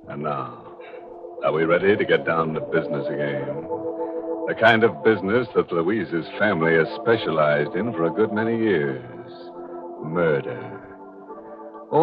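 A man hosting a 1940s radio mystery show in a theatrical, tongue-in-cheek, macabre manner. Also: low-pitched. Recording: muffled vintage radio broadcast.

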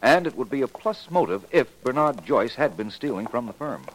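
An actor playing a courteous, confident private investigator in a 1940s radio drama, polite and assured. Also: male voice, medium pitch, very animated, moderate pace, lightly acted. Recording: faintly noisy old radio recording.

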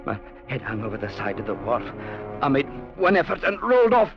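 An actor playing a stern, principled adventurer who narrates an old radio drama in a measured, deliberate way. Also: male voice, medium pitch, very animated. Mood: pained. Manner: measured, deliberate, grimly resolute. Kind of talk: 1940s-50s radio drama narration and acting. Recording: muffled old radio recording with music behind.

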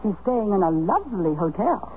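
An actress playing a droll, sarcastic housekeeper in a 1970s radio comedy drama. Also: female voice, mid-range voice, moderate pace, lightly acted. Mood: wry, teasing. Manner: deadpan sarcastic answers. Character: wry, droll female housekeeper of a separated couple. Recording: muffled, noisy 1970s radio broadcast.

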